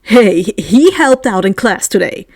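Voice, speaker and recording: A woman's voice: mid-range voice, big pitch swings, a little slow, a little mellow, dry recording, clean studio sound, voice pushed down. A woman voicing a good-natured teenage schoolboy hero in a storybook, speaking in an earnest, casual way. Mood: teasing.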